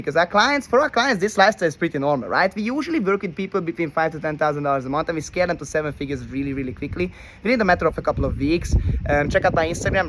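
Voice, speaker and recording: A man in his twenties raised in Hungary talks to camera from a rented villa, selling his coaching with brash, repetitive energy. His voice sits high, and he keeps checking in with "right?" Faint background noise hums under him. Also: fairly bright tone, low-quality recording. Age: 20s. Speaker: online business coach. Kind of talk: motivational direct-to-camera talk.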